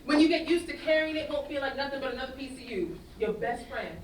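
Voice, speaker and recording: A woman's voice: high-pitched, expressive, fast. A woman acting a bold, teasing, tough gun-toting woman in a staged play reading. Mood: urging, excited. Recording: roomy, distant stage recording with faint noise.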